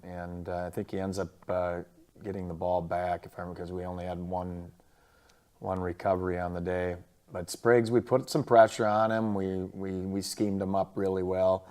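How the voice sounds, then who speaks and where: Low, even-voiced American male coach. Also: clear, resonant tone. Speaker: football head coach. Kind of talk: casual sports recap and highlight commentary.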